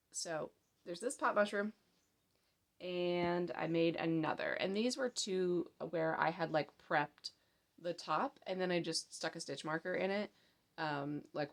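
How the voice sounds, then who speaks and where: Hoarse-ish lady with a cold, kinda low and gravelly, rambly chatty craft vlog at home. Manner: chatty, rambling, lots of fillers. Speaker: crochet pattern designer and vlogger. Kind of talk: casual show-and-tell chat. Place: home craft room in daylight.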